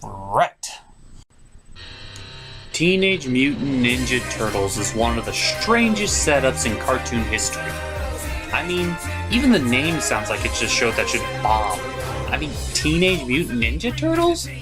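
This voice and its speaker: A man voicing a flustered, exasperated puppet host of a cartoon review show, halting and apologetic in a scripted comedy skit. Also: medium pitch, big pitch swings, over loud music. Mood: presenting.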